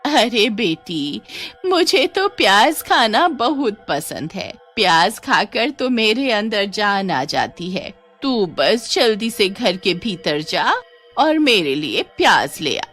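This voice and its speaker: A woman's voice: medium pitch, big pitch swings, a bit bright, faint music behind, heavily acted. A woman voicing a hungry, coaxing old village woman who is secretly a witch, in a horror folktale. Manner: slow, coaxing, pleading. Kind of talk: dramatized Hindi horror folktale.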